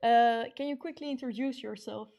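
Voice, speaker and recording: A woman's voice: fairly high pitch, fairly even intonation, normal speed, vocal fry, mellow. A young adult woman hosting a radio show, introducing and interviewing guests in an upbeat presenting style.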